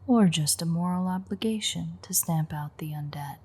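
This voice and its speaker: A woman playing a playful, teasing vampire with dark predatory confidence in an intimate, sardonic audio roleplay, her voice husky and breathy. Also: lowish voice, moderate pace. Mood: challenging. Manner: teasing, sardonic, swearing banter.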